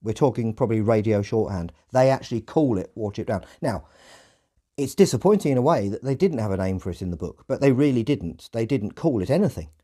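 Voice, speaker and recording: A man's voice: medium pitch, expressive, brisk, dark tone. A man hosting a podcast in casual discussion, reflective and often correcting himself as he goes. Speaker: podcast host.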